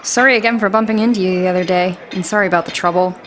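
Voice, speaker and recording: An actress playing a frank, caring but guarded young village woman in a fantasy audio drama, brisk and naturalistic in delivery. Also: fairly low pitch, fairly even intonation, a bit bright, a little hiss or hum, natural voice.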